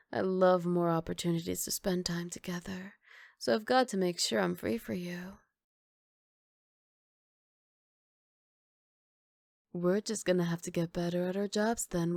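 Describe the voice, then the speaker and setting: A woman playing a flirty, cocky female villain who teases in an unhurried, smooth-talking way, in an ASMR roleplay. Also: on the low side, darkish tone, no echo, clean studio sound. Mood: flirty, sly.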